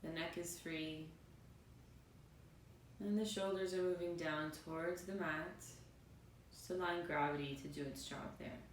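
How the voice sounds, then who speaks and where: Woman meditation guide, soothing and spacious phrasing; roomy, echoing recording with faint background rumble. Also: fairly even intonation. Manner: soothing, unhurried phrases with pauses. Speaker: yoga and meditation teacher. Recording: roomy, distant mic, faint noisy rumble.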